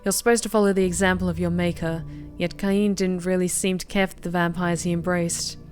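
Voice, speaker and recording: A young woman streamer reading a visual novel aloud, with expressive bursts of excited commentary. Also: lowish voice, a little fast, soft background music. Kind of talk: game narration with live reactions.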